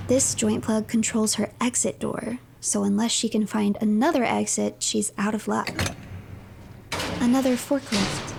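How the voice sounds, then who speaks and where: A woman narrating a horror game as a dramatic third-person story, with breathy dips toward a whisper. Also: a little high, a little mellow, a little hiss or hum.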